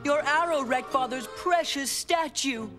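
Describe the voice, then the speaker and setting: A woman's voice: high-pitched, unhurried, music in the background. A woman voicing a smug, scheming rich little boy in a children's cartoon, with a snooty manner. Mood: smug, accusing. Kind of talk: animated children's cartoon acting.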